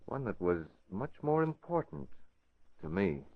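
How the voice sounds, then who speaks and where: A suave, composed gentleman hero in an old radio drama. His lowish voice comes through a tinny, phone-like broadcast band. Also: very animated, measured pace, heavily acted. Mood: wry, composed. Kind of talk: 1940s radio acting.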